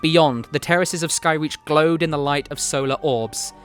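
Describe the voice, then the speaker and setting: A man reading a fantasy story aloud as narrator, with dramatic, deliberate phrasing. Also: on the high side. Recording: music behind the voice. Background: background music.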